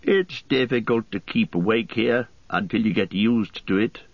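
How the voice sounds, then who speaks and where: A man's voice: lowish voice, unhurried, gravelly, dry recording. A man voicing a merry elderly male hobbit poet, chatty, proud and a little indignant, on a dull lo-fi recording.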